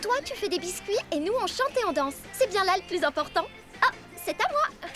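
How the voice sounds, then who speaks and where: A woman's voice: extremely high pitch, very animated, brisk. A woman voicing a vain, self-centred young pop-singer girl in a French cartoon, showy and melodramatic. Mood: self-assured. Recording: loud music underneath.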